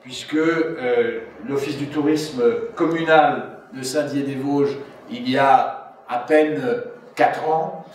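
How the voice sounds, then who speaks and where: A man giving a formal public speech as a local official, in a deliberate, rhetorical way with drawn-out phrases. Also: mid-range voice, steady tone. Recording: echoey room, distant mic, faint noise.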